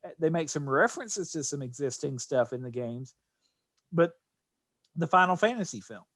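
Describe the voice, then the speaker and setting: Higher-pitched man, close dry sound. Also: lively intonation, moderate pace, a bit bright, dry recording. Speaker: podcast co-host. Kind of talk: casual panel discussion.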